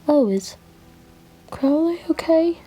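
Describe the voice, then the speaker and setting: A woman acts out a doting, fussy male angel for a roleplay story. The voice is lifted, soft and breathy, with a mellow tone and genteel, fretful affection. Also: high voice, expressive, slow pace, pitched above the performer's own voice, poor audio. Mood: concerned, gentle. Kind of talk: fan-fiction roleplay voicing.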